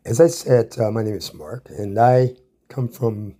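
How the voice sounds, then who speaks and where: Male American storyteller, autobiographical. Slightly husky, dark tone, takes his time. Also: fairly low pitch, a little slow. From Ann Arbor, Michigan, USA. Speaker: guest storyteller.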